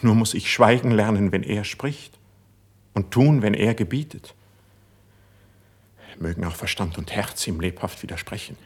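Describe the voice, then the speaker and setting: An actor playing an impassioned, melancholy court poet, declaiming lofty verse in a measured way in a classic German radio play. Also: male voice, on the low side, breathy edge. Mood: earnest, restrained.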